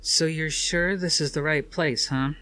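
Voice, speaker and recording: Man voicing an anxious woman scientist, pitched up. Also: fairly even intonation, a little slow, pushed and bright. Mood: uneasy. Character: anxious, practical woman scientist, frank and questioning.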